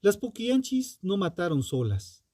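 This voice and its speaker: A man narrating a scripted true crime podcast in a dramatic, solemn and deliberate manner, with ominous rhetorical questions. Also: high voice, sing-song, dark tone, dry recording.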